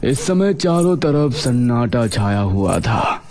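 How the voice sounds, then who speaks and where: A man's voice: medium pitch, sing-song, slow pace, over music. A man narrating a scripted horror story as the storyteller.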